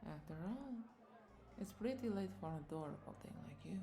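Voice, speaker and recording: A woman's voice: medium pitch, expressive, fairly quick, natural voice. A woman playing a flirty, doting vampire bartender in a roleplay audio drama, coaxing and affectionate as she speaks to the listener. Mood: sweet, welcoming. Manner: coaxing, affectionate, lingering over words with soft chuckles.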